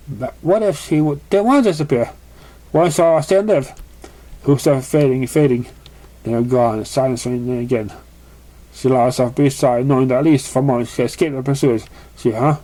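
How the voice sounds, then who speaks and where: A man narrating written fiction as an amateur reader, halting and stumbling over words. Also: medium pitch, mellow.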